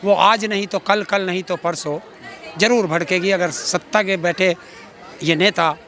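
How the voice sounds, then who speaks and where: A man's voice: high-pitched, fairly even intonation, a little slow, fairly bright tone. A middle-aged man, speaking combatively and sarcastically in an outspoken street interview, full of rhetorical challenges. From Uttar Pradesh, India. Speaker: farmer activist being interviewed. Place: outdoors in a public place. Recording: outdoors with faint crowd behind.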